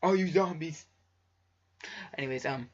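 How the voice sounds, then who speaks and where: Man, low-fi muffled audio, husky creaky voice, amateur story reading, rambling asides, stumbling delivery. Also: measured pace. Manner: stumbling over words, rambling, self-deprecating. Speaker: amateur fanfiction reader. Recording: muffled lo-fi home recording.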